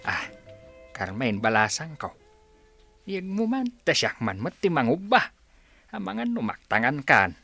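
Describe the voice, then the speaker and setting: A man playing a doting, excitable old village grandfather in an Ilocano radio comedy drama. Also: on the high side, a little slow, vocal fry, pauses often, faint music behind, exaggerated voice. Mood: cheerful, curious. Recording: noisy track with clicks.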